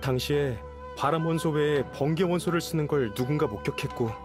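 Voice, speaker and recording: A composed young nobleman from a video game, played by a male actor, with a fairly high male voice. He explains things formally and courteously, in a measured, reassuring way, over background music. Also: natural voice. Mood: calm, persuasive. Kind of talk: game voice acting. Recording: music behind the voice.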